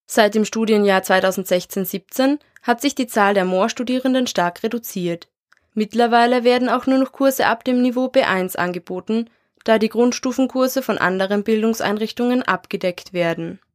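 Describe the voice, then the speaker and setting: Woman reading a radio news piece, steady and measured. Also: a little high. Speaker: radio reporter. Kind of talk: scripted news narration.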